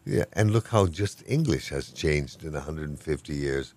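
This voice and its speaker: An older man with an extremely deep, low voice talks in a relaxed, rambling way. He is good-humored and self-deprecating, a professor chatting through an interview. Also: normal speed. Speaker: professor of Hawaiian language. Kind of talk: casual interview talk.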